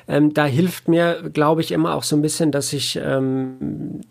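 A man's voice: fairly high pitch, fairly even intonation, a little slow, creaky, a little mellow. A man answering casually in an interview, explaining his work in a reflective way. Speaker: audiobook editor and producer, interview guest.

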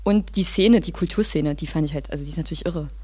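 A woman talking casually as an interview guest, often breaking off and restarting as she tells things in an animated way. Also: deep voice, fast talker, breathy edge. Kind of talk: casual conversation.

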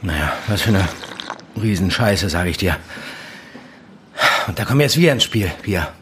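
A man's voice: low-pitched, animated, moderate pace, fairly bright tone, a little hiss or hum. A man playing a brusque, probing handler of an undercover informant in a naturalistic audio drama, colloquial and prone to swearing. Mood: conspiratorial, irritated.

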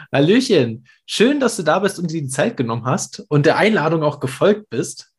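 A man's voice: a little high, very animated, moderate pace, husky. Enthusiastic chatty male podcast host. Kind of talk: casual podcast interview.

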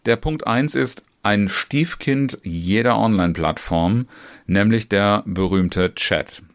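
A man with a very low voice teaches other trainers in an informal talk, addressing the listener directly. His sentences run long and chained together. He often restarts a phrase or fills a pause with a short hesitation sound. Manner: speaks in long chained clauses with frequent restarts and fillers. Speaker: trainer and trainer educator. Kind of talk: informal instructional talk on online seminars.

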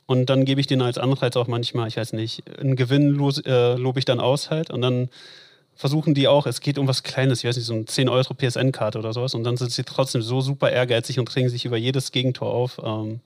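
A man's voice: mid-range voice, steady tone, fairly quick, no echo, studio quality. A man coaching an esports football team, answering interview questions at length with frequent fillers. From Germany. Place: Ruhr area.

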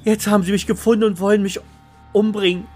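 Man voicing a guarded, fearful mother, high-pitched. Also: level tone, fast talker, darkish tone, soft background music. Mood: fearful, confessing. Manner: urgent, earnest, guarded. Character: fearful, suspicious mother hiding in witness protection. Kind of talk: radio thriller acting.

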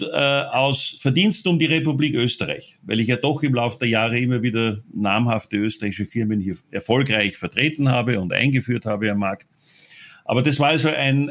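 A man from Austria, telling his own story in an interview, halting often with fillers and restarts. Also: mid-range voice.

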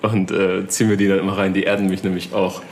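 Man, interviewer, low voice, chatty, easygoing, faint noise. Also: flat delivery, fast talker, a little mellow. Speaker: interviewer, host. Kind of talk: casual interview chat.

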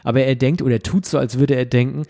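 Gravelly man explaining at ease. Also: medium pitch, fast. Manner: relaxed, explains at length with fillers. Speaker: podcast co-host. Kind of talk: casual chat.

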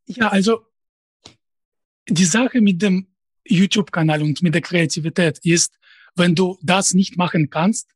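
Russian-accented man, late thirties, interview guest; husky, slightly high voice, halting and direct, dry close sound. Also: no room sound. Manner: hesitant, restarts phrases, direct. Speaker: professor and YouTuber, interview guest. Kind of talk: conversational interview answers.

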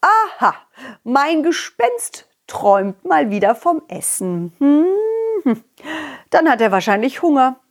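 A woman's voice: sits high, very animated, pitched above the performer's own voice, exaggerated voice. Woman putting on a cheerful, swooping storybook king. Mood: amused, explaining. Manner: exclamatory, leisurely, drawn-out words. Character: cheerful, hospitable king, fond host of a ghost.

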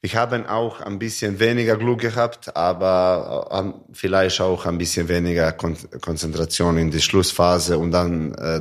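A handball player in a podcast interview, speaking halting non-native German with many fillers, originally from Montenegro. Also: male voice, low-pitched. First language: not German.

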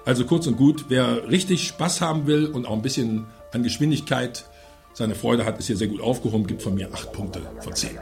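A man's voice: medium pitch, fairly quick, gravelly. Casual man reviewing films over music. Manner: colloquial, rambling. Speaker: radio show film reviewer. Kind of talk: casual film review commentary.

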